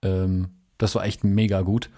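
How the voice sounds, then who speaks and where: A man's voice: sits low, level tone, unhurried, warm tone. A man from the United States, casually recounting a trip in a rambling, chatty way with many fillers. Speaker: podcast guest. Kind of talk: casual travel storytelling.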